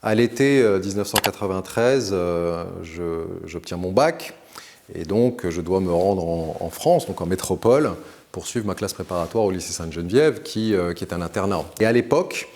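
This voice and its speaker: A man in his forties who grew up in Morocco, telling the story of his studies and career in a measured, articulate way, with frequent hesitations. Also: deep voice, animated, darkish tone. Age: 40s. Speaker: interview guest. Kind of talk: recounting his career to camera.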